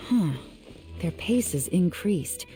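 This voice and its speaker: A woman voicing a cool, shrewd female investigator in a video game, with an assured, measured, slightly teasing manner. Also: fairly low pitch, expressive, warm tone. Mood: focused. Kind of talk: game cutscene voice acting. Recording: music behind the voice.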